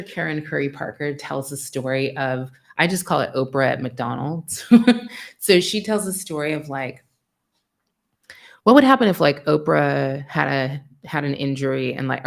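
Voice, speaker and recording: A middle-aged American woman giving a live spiritual sermon, frank and profane, acting out dialogue in an animated way. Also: deep voice, gravelly. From United States. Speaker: spiritual teacher.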